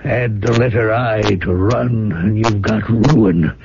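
A stern old rich father near death in a radio play. He speaks slowly with a creaky voice, preachy and halting, heard through a muffled vintage recording. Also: fairly low pitch, very noisy. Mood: weary, bitter. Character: dying wealthy old father, stern, moralizing, remorseful. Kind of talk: radio drama acting.

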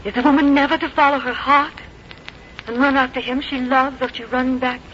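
A woman's voice: high voice, flat delivery, brisk, a bit bright. An actress playing a lovelorn, pleading woman envoy, formal and theatrical, in a 1950s radio drama. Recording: muffled, hissy 1950s radio recording.

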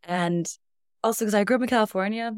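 A woman's voice: medium pitch, fast talker, smooth, dry recording, clean studio sound. A young woman from Iceland, a band's singer, telling stories in a casual interview with frequent laughs. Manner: reflective, laughs often, storytelling. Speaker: band singer, guest.

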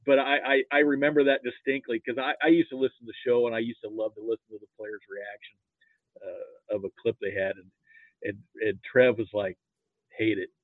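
A man chatting casually about sports as a guest fan, halting as he circles toward his point, heard over a thin call line. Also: mid-range voice, flat delivery, dry recording. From Nebraska, United States. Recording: thin, phone-like narrow-band line.

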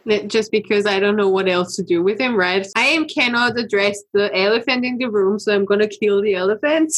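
A woman from Austria with as her chats her way through news stories as a podcast co-host. She talks loosely, thinks out loud and laughs often, at a middle pitch. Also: fairly quick. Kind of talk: casual news chat.

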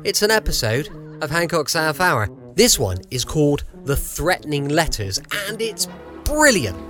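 A man's voice: fairly high pitch, sing-song, normal speed, pushed and bright, soft background music. An English man hosting a podcast, giving a chatty introduction. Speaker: podcast host.

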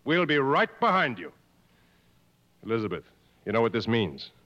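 A commanding jungle adventurer from a 1950s radio play. His delivery swings widely in pitch and carries urgent authority. The old broadcast sounds dull. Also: sing-song, moderate pace, fairly bright tone. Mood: firm, commanding. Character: commanding adventurer, hunter leading jungle escape, resolute. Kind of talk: 1950s radio drama acting.

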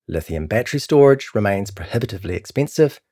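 A man's voice: lowish voice, expressive, quick pace, mellow. A man with a New Zealand accent reading a scripted long-form article as a documentary narrator.

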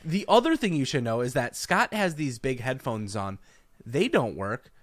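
A man co-hosting a podcast, talking casually and teasing the others. His voice sits at an ordinary pitch, and the recording is dry and close. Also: very animated, normal speed, no room sound. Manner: joking, teasing. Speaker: podcast co-host. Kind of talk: casual chat.

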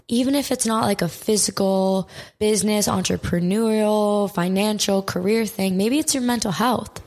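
A young woman with a hoarse, raspy voice, giving an emphatic motivational talk to her viewers and swearing often for stress. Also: medium pitch, darkish tone. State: hoarse from crying.

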